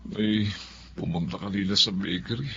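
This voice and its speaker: A man playing a weary, middle-aged baker father in a Filipino radio drama, halting and subdued. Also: on the low side, a bit bright, lightly acted. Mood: troubled, resigned. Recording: dull recording, faint background noise.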